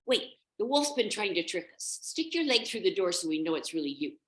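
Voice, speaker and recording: Woman as a high-pitched, excitable little goat kid. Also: fairly quick, reverberant, close to an everyday voice. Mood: wary. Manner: high, eager, indignant exclamations. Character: excitable, trusting little goat kids, very young.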